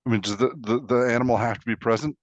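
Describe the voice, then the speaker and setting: A man's voice: medium pitch, fairly even intonation, fast. A man co-hosting a casual chat, asking questions and thinking aloud, on a slightly lo-fi voice track.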